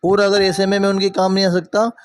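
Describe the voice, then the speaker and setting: A man's voice: high-pitched, little pitch movement, brisk, natural voice. A man voicing a loyal, caring younger brother, earnest and emphatic, in a cartoon moral story. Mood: indignant.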